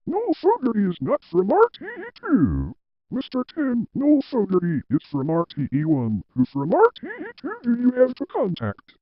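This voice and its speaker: A man's voice: extremely high pitch, huge pitch swings, moderate pace, dry recording, exaggerated voice. A man playing a stubborn, argumentative office employee in an amateur drama, emphatic and repetitive. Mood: exasperated correcting. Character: defiant, stubborn male office employee who argues back. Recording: dull, dry lo-fi audio.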